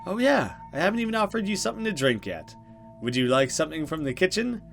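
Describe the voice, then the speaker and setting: A man's voice: sits high, sing-song, fast talker, gravelly, a bit bright, faint music behind, pitched above the performer's own voice, exaggerated voice. A man voicing an earnest young woman from a horror visual novel in a conversational storytelling way. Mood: friendly. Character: grieving young woman, friendly hostess, unsettled by things. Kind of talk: visual novel dialogue read aloud.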